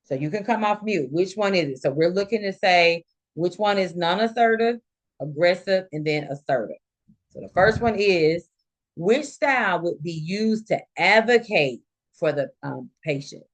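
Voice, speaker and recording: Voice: medium pitch, expressive, normal speed. A nursing instructor giving an informal live lecture to students, explaining points and illustrating them with quoted examples.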